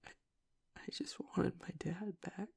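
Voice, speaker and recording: A man playing a tough young werewolf pack alpha broken by grief in an intimate audio roleplay, halting and choked up, with a breathy, raspy voice. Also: high voice, fairly even intonation, full character voice. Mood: anguished. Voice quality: breathy, raspy whisper with gravelly breaks. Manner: halting, fragmented, swearing under strain. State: choked up, grieving.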